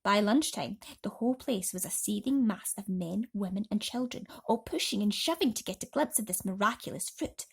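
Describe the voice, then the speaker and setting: A woman reads a bedtime story in an expressive, dramatic manner. Her voice sits at a medium pitch and often sinks into a breathy hush. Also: moderate pace, hardly any pauses. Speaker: storybook reader.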